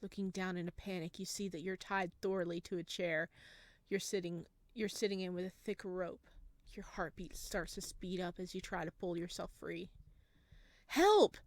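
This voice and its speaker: A woman's voice: fairly low pitch, moderate pace, dry recording. A woman gaming YouTuber who reads a horror visual novel aloud expressively and then reacts to it. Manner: expressive, animated reader.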